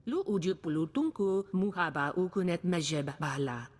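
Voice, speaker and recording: A woman's voice: fairly low pitch, expressive, moderate pace, a little mellow. An actress playing a female alien in a video game, speaking lines in an invented alien tongue. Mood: earnest. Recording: long reverberant tail.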